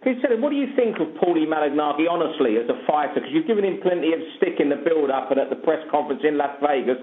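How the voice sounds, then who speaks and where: A British man asks questions as a reporter, speaking rapidly in a fairly high voice. He is heard over a thin, echoing telephone connection. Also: animated, a little hiss or hum. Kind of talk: asking questions on a conference call. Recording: echoey, thin phone line.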